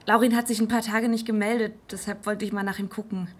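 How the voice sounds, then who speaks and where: A woman's voice: mid-range voice, little pitch movement, fast talker, lightly acted. An actress playing a friendly but worried seventeen-year-old girl who talks casually and a little hesitantly in a naturalistic radio drama. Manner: hesitant, casual youth slang.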